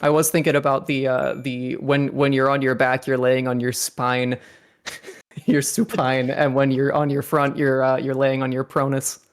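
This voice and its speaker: A man's voice: medium pitch, level tone, moderate pace, smooth. A young man talking casually on a group commentary about writing song lyrics, rambling and laughing often. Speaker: songwriter on a fandub commentary.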